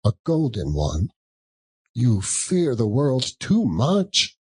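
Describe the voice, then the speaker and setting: A man voicing a sorrowful young woman in a storybook reading, soft, measured and gently reproachful. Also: medium pitch, huge pitch swings, slightly rough, heavily acted. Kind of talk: dramatic audiobook reading of a classic story.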